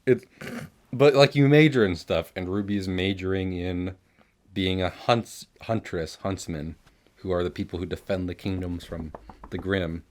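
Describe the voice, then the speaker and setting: Young adult man, low pitch, casual podcast host, rambling recap, sweary. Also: normal speed, darkish tone. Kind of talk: casual chatty recap of an animated series.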